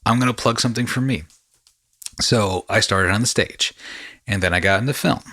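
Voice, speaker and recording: A man's voice: fairly low pitch, moderate pace, sharp tone, no echo, clean studio sound. A man hosting a podcast, talking in a casual, rambling storytelling way with slangy asides. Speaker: podcast host.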